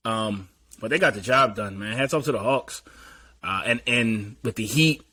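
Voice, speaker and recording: A man hosting a casual sports chat, breaking down games in an easygoing, analytical way and clearing his throat often. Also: fairly low pitch, moderate pace, bright, forceful tone. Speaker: podcast host.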